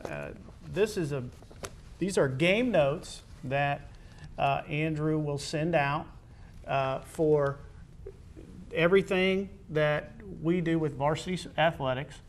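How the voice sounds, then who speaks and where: A man hosting a casual, unscripted interview, speaking haltingly as he searches for words. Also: fairly high pitch. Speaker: interviewer, host. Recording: clear background noise.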